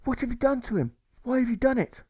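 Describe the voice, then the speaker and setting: A man's voice: extremely high pitch, expressive, fast talker, dark tone, slightly rough, no echo, studio quality, voice pushed up, heavily acted. A man voicing an emotional, pleading, apologetic woman in an audiobook story. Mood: accusing. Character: tearful, apologetic young woman missing her man, overjoyed.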